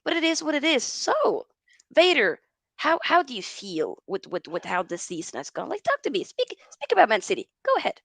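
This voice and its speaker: A young woman from Norway hosts a casual live football stream with a gravelly, fry-edged voice. She reads out viewer comments and laughs easily between them. The sound is dry, with no room around her. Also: sits high, wildly swooping pitch, a little fast, a bit bright, dry recording. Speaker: host of a football talk show.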